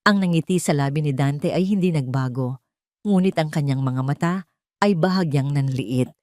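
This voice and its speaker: A woman narrating a scripted dramatic love story in a measured, dramatic way. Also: low-pitched, a little mellow, no room sound, clean studio sound.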